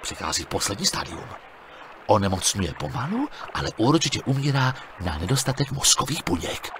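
A sarcastic young monk adventurer from an adventure game, voiced by a man, trading dry, mocking jabs. His voice sits at a middle pitch for a man, but it swings about with lively inflection. He sounds quick-witted and amused with himself. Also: noisy background, close to an everyday voice. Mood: taunting. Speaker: game protagonist.

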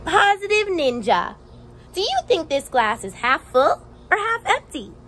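A young woman teacher reading a picture book aloud to children outdoors, expressive and encouraging, with questions and exaggerated emphasis. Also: very high voice. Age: young adult. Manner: expressive, asks questions and pauses for answers. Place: outdoors on a house porch. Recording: faint outdoor background noise.

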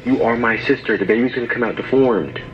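A man's voice: mid-range voice, quick pace, background noise. A young man pranking with a staged phone call, speaking in a brazen, casual manner. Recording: dull lo-fi playback with music behind. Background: music.

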